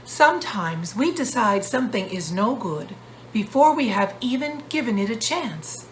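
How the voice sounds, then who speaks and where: A woman voicing a wise, calm woman artist who gives measured advice in a children's story. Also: a little high, slight background noise, poor audio. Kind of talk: storybook character voice.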